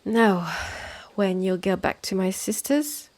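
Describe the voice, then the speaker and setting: A woman with a French accent playing a calm, protective immortal healer in an ASMR roleplay, soothing and often whispering. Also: medium pitch, slow pace, no echo. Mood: caring, wry.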